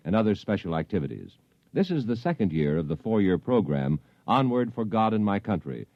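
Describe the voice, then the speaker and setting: A man reading network promos and notices in a brisk, formal 1950s radio style. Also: low-pitched, no room sound. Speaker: network announcer. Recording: dull dry old radio broadcast.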